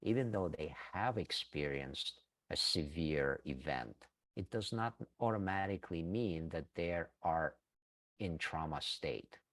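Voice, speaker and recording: Very deep, slow-speaking man. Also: expressive, a bit bright, no room sound. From Ukraine. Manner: slow, earnest, many hesitations. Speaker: interview guest, breathwork teacher. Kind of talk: reflective interview answer.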